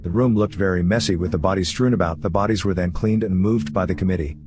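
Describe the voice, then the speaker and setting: A man's voice: low-pitched, quick pace, over music. A man reading scripted narration for a story recap video, as its narrator.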